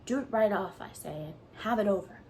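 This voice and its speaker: A woman voicing a shy, gentle elderly farmer who talks in a hushed, coaxing way. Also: mid-range voice, brisk, a little hiss or hum, lightly acted.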